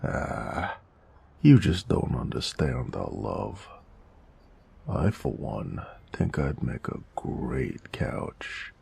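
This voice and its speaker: A man's voice: big pitch swings, drawn-out words, warm tone, exaggerated voice. A man playing a weary, teasing, affectionate noir mobster in an intimate romance audio roleplay, murmuring and sighing in old-time gangster slang. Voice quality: very deep, gravelly, breathy murmurs.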